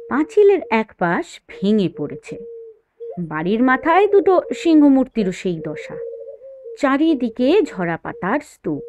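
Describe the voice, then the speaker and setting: A woman's voice: a little high, background noise. A woman narrating an audio story in a dramatized storybook style.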